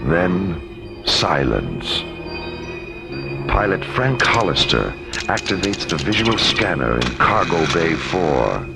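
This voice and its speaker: A very low-voiced man delivers portentous science fiction narration. His delivery swings widely in pitch for dramatic weight, over loud music. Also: sing-song, takes it slowly, creaky. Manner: ominous, portentous.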